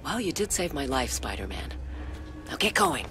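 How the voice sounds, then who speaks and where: A woman's voice: a bass voice, sing-song, moderate pace, background noise, full character voice. An actress playing a bold, sassy young female reporter in a video game, teasing and self-assured. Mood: teasing, insistent. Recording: loud music behind the voice.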